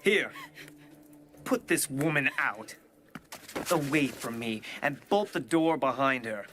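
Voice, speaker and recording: A man playing a lustful, callous young prince in a dramatized audio Bible, with commanding, drawn-out phrases over music. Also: mid-range voice, lively intonation, a bit bright. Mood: harsh command. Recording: music under the voice.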